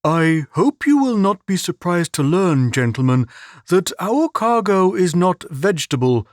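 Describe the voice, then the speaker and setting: A man's voice: high-pitched, big pitch swings, a little slow, no room sound, studio quality, pitched above the performer's own voice. A man doing an earnest naive young man. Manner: earnest and polite.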